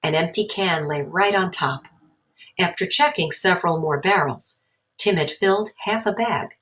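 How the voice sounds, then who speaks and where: Low-pitched woman reading a children's story. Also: moderate pace, a bit bright, low-quality recording. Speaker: storybook narrator. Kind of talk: read-aloud children's story narration.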